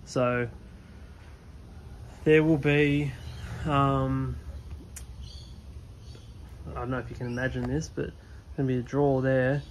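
A young man talks through a casual vlog, his pitch staying flat and level. He rambles, filling gaps with ums as he films on a phone outdoors. A faint outdoor hiss sits under his voice. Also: low-quality recording. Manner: rambling, many ums and fillers. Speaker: HVAC technician vlogger. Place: outdoors beside a van in a driveway.

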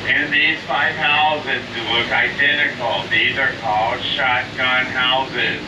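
Man guiding a trolley tour over speakers. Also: mid-range voice. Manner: informative, addresses riders as ladies and gentlemen. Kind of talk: guided tour commentary. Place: open-air tour trolley outdoors. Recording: distant, echoey speaker sound with street noise.

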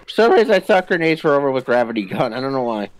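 A man's voice: medium pitch, big pitch swings, very fast, dry recording. A man chatting casually as he plays a co-op video game, with playful, jokey asides. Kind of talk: casual co-op gameplay chat. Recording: game gunfire under the voice. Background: video game gunfire and ambience.

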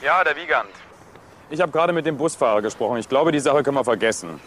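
Male actor as weary investigator for crime radio play; medium pitch, sceptical and colloquial, faint outdoor noise. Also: expressive, quick pace, a bit bright, lightly acted. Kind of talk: German crime radio drama acting.

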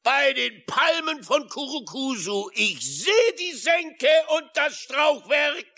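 A man playing a gruff, hearty sailor uncle in a children's audio drama, with a gravelly voice. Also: very high voice, big pitch swings, slow, bright, forceful tone, full character voice. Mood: excited.

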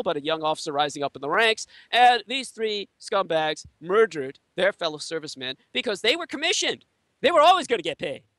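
A man from Taiwan whose first language is Chinese, an interview guest giving an emphatic, lecturing monologue that swings from loud high outbursts to low murmurs. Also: no room sound. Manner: emphatic lecturing monologue, voice rising and falling sharply. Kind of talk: conspiracy talk on an audio interview.

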